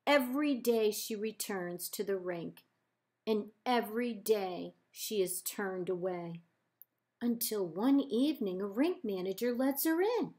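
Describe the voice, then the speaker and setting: A woman librarian reading a children's picture book aloud in measured, deliberate phrases with pauses. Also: medium pitch, expressive, darkish tone. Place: indoors, book held up to camera.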